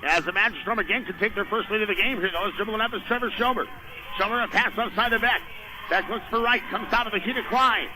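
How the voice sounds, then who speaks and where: High-pitched American man calling basketball on radio. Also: quick pace. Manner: clipped, running description of the action. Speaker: play-by-play basketball announcer. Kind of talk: live radio sports commentary. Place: indoor basketball gym during a game. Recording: noisy live radio gym broadcast.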